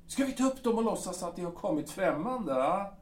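A man's voice: high voice, lively intonation. A man playing a bluff, boastful aging artillery captain in an audio drama. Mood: bickering. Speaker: Edgar. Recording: roomy and distant.

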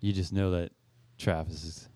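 Lowish-pitched man bantering casually. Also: fairly even intonation, normal speed, mellow, no echo. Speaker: podcast co-host.

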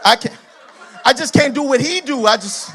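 A man with a strikingly high voice delivers a church sermon. He drives his points home with emphatic, stacked repetitions that rise to shouts, then loosens up with laughing, conversational asides. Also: moderate pace, some chatter behind, a little hiss or hum. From Haitian heritage. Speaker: preacher.

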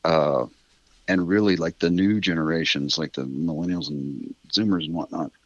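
A man's voice: extremely low pitch, normal speed, no echo, muffled recording. A middle-aged man chatting reflectively as an interview guest, rambling thoughtfully and correcting himself as he goes. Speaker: interview guest, writer of a radio drama. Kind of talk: casual reflective interview chat.

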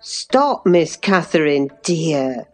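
A woman reading aloud, voicing an earnest older housekeeper who gently scolds in a lower, measured voice. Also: big pitch swings, faint music behind, full character voice. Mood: earnest reproof.

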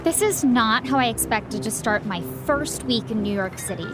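Woman as high-voiced earnest small-town young newcomer. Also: lively intonation, moderate pace, over music, natural voice. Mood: nervous, self-mocking. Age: young adult. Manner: self-deprecating, earnest. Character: naive, chipper small-town young woman newly in the city. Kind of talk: audio drama inner monologue.

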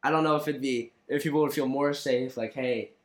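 A man's voice: medium pitch, fairly even intonation, fairly quick. A young man conducting a casual interview, informal and rambling, with lots of filler words as he works out his questions.

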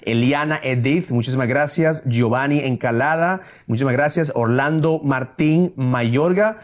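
A man hosting a motivational business podcast, who slips phrases into his Spanish and stops to correct himself. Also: medium pitch. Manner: mixes English phrases into Spanish; halting, self-correcting restarts. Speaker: podcast host. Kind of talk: casual motivational chat.

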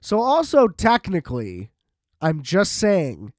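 An American man with a slightly high voice, recorded dry and close. He rambles casually through a solo review, like a host talking off the cuff. His delivery is informal and sweary, and he hammers points home by repeating them. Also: wildly swooping pitch, takes it slowly, no room sound. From United States. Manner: profane, informal, emphatic and repetitive. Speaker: host giving a movie review.

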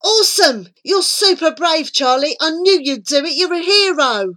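Confident schoolgirl schemer for children's fiction, acted by a woman, bossy, emphatic, very animated delivery. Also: high voice, voice pushed up, full character voice. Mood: delighted, flattering. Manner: emphatic, coaxing, brisk. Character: bossy, confident young girl, persuasive schemer. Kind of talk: children's storybook character voice.

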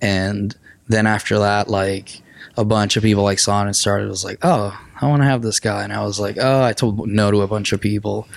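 A man's voice: fairly low pitch, level tone, normal speed, vocal fry, no room sound. A man from Puerto Rico, in his thirties, a comedian guest on a casual podcast, swearing and laughing easily.

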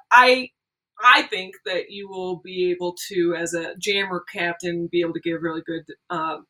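A woman in casual podcast chat, with a lowish, bright voice. She jokes a lot and breaks into loud laughter. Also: rough recording. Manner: jokey, with loud bursts of laughter. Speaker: roller derby player and captain.

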